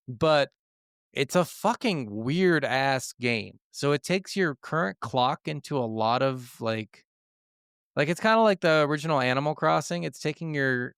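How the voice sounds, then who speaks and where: A man's voice: medium pitch, fairly bright tone, clear, resonant tone, no echo, studio quality. A man co-hosting a casual gaming podcast, talking in an enthusiastic, profanity-laced way. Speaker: podcast co-host.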